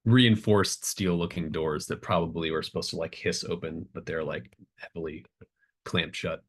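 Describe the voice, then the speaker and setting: Very deep-voiced man running a game. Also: fairly even intonation, normal speed, husky. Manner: hesitant, thinking aloud, conversational. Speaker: game master. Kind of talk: improvised tabletop game narration, casual chat. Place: remote online group call.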